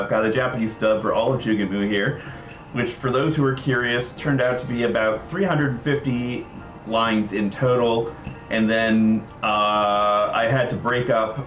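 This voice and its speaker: A man's voice: on the low side, fairly bright tone. A man hosting a casual livestream, talking through a demonstration of a game project in an informal way. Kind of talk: casual livestream demo commentary. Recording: roomy echo, music behind. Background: game music.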